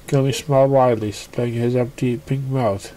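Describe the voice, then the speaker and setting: A man reading a fan fiction story aloud as narrator, haltingly, stumbling over words and starting lines again. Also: mid-range voice, steady tone, warm tone, a little hiss or hum, poor audio. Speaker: amateur story reader.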